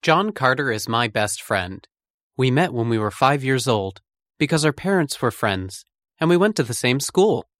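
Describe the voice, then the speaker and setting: A man reading a scripted textbook monologue about a friend, in a lively, even storytelling manner. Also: medium pitch, moderate pace, no room sound, studio quality.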